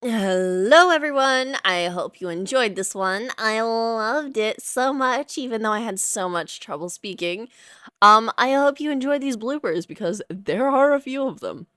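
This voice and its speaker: A woman's voice: a little high, expressive, a little slow, fairly bright tone, no echo, clean studio sound. A woman who performs audio roleplay, casually addressing her listeners.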